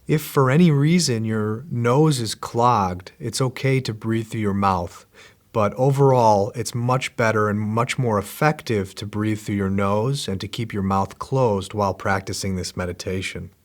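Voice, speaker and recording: A man with a lowish voice leads a guided meditation, talking in calm, unhurried instructions. He repeats each step gently and patiently, sounding middle-aged. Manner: slow, gentle, repetitive instructions. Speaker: meditation teacher.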